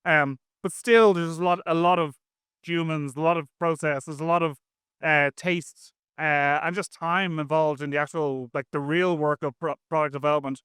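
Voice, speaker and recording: A man with a bright, clear voice that sits a little high for a man. He talks like a guest in a casual interview, chatty and rambling with plenty of slang. The sound is close and dry. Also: fairly even intonation, moderate pace, dry recording. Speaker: interview guest, software engineer.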